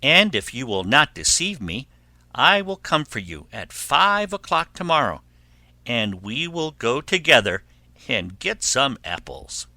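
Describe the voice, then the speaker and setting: Man voicing a sly, blustering storybook wolf, sharply forceful. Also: mid-range voice, big pitch swings, no echo, heavily acted. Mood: sly coaxing. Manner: drawn-out, coaxing, huffing delivery. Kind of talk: children's fairy tale character voice.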